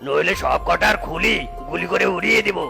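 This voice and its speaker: A man voicing a menacing male kidnapper villain in a cartoon, gravelly and bullying. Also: sits high, a little fast, pushed and bright, faint music behind, exaggerated voice. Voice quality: gravelly, harsh. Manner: threatening, bullying. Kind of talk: cartoon voice acting.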